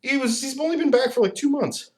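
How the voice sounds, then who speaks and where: A man co-hosting a podcast in casual chat, animated and profane, laughing often. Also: very high voice, fast talker. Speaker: podcast co-host.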